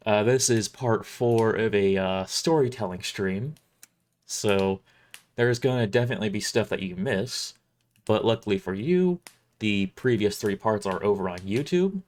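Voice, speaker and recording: Man streaming with a lively, swinging pitch. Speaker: streamer and author reading own book. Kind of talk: casual livestream chat and narration of a sci-fi story.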